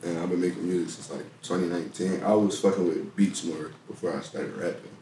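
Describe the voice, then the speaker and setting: A young man talks in slangy, animated bursts. He sits away from the mic, so his voice sounds distant. The room echo is strong. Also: deep voice, brisk, slight background noise. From Philadelphia. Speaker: guest rapper. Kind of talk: casual chat with friends. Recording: echoey room, heard from afar.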